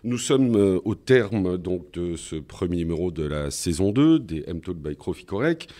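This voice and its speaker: Low, mellow male podcast host. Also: very animated, measured pace. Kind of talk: podcast hosting, semi-formal spoken outro.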